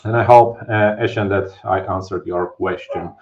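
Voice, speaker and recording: A man with a Polish accent walks viewers through a security topic in a live online session. His voice sits low and comes over a dull, lo-fi webcam stream from a home office. He talks in a loose, explanatory way, with fillers and frequent "right?" checks. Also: fairly even intonation, moderate pace, darkish tone. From Poland. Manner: explains casually, many fillers and "right?" tags. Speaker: presenter. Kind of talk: live technical webinar talk. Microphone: webcam video call.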